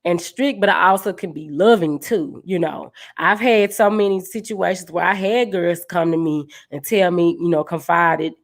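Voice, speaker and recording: A woman with a deep voice, hosting a casual podcast chat and speaking in an earnest, encouraging way as a dance team leader. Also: normal speed, hardly any pauses.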